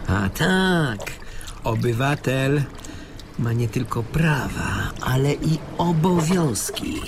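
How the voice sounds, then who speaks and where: An actor in a radio drama playing a prim, self-righteous plane passenger adrift at sea, argumentative and fussy. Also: male voice, medium pitch, lively intonation, takes it slowly. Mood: indignant, defensive. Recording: clear water and sea noise behind.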